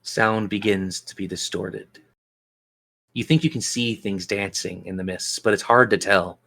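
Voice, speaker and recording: A man's voice: on the low side, fairly even intonation, unhurried, bright, forceful tone. A man acting as game master, narrating and refereeing a tabletop fantasy game in colloquial language and speaking directly to his players.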